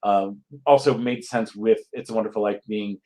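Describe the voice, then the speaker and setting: Slightly low-voiced, hesitant man giving interview answers. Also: fairly even intonation, moderate pace, dark tone. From Connecticut, United States. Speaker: guest playwright.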